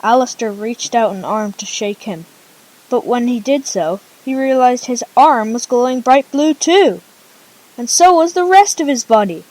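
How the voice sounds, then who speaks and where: A woman's voice: fairly high pitch, expressive, a little slow, a little mellow, low-quality recording. A female storyteller narrating an amateur radio drama informally, with casual conversational asides.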